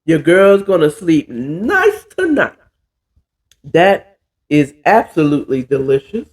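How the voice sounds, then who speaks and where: A hoarse, stuffy-nosed man hosting a casual chat while cooking, drawling and calling his listeners "child". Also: a little high, wildly swooping pitch, slow. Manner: chatty, drawling, says "child" a lot. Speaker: podcast host.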